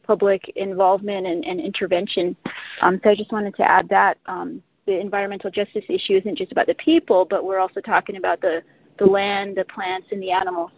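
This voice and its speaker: A woman speaking earnestly as a panelist on a telebriefing, pausing and restarting often. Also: mid-range voice, flat delivery, vocal fry, no room sound. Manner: earnest, halting with frequent restarts. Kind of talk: speaking on a conference call. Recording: muffled phone-line audio.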